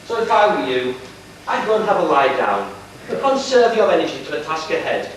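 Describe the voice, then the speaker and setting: A man's voice: on the high side, big pitch swings, moderate pace. A man playing a bossy, exasperated king and father in an amateur stage pantomime, declaiming with sardonic relish. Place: church pantomime stage, far camera. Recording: echoey distant noisy amateur stage video.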